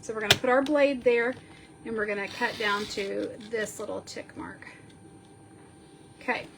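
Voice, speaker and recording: A woman sniffling a little as she slowly talks through a card-making tutorial, counting measurements aloud while she works. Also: on the high side, creaky, heavy background noise. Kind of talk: step-by-step crafting tutorial.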